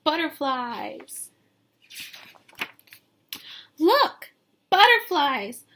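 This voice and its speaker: High-pitched, slow-paced woman teacher reading aloud. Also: slight background noise. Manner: expressive, sing-song, with questions and pauses. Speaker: teacher reading a picture book aloud to young children. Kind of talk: read-aloud lesson with questions to young listeners.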